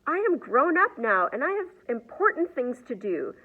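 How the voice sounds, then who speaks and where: A woman voicing a loud, theatrical grown-up mother from a children's storybook. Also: high-pitched, big pitch swings, moderate pace, narrow, tinny audio, pitched above the performer's own voice. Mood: panicked refusal.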